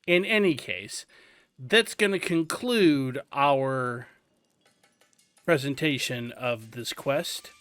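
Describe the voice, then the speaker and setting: Slow, dry-voiced man explaining a game. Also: mid-range voice, no echo, soft background music. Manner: relaxed, explanatory, drawn-out. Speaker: host. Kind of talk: game walkthrough commentary.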